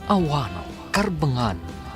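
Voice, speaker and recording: A man's voice: medium pitch, very animated, slow pace, music in the background. A man with an Ilocano Filipino accent narrating a radio serial in a dramatic announcer style.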